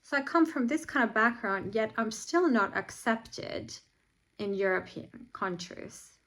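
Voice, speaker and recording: A woman's voice: a little high, lively intonation, a little slow, fairly bright tone. A woman in her forties from Finland, frankly telling personal stories to camera, earnest and at times indignant. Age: 40s. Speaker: vlogger.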